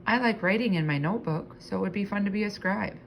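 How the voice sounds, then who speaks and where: A woman reading a children's book aloud in an expressive narrating style, stretching key words, with faint background noise. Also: medium pitch, quick pace, creaky. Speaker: storybook reader.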